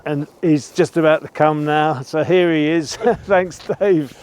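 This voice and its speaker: A man giving an informal gear review, explaining things in a measured, careful way. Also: on the high side, fairly even intonation, a little mellow. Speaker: presenter reviewing and testing gear. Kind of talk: informal product review commentary.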